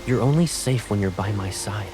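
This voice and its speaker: An actor playing a possessive, protective young military colonel in a video game, speaking with controlled, intimate intensity. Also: male voice, fairly low pitch, normal speed, music in the background, natural voice, low-quality recording. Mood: possessive, intense. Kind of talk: dramatic video game cutscene acting.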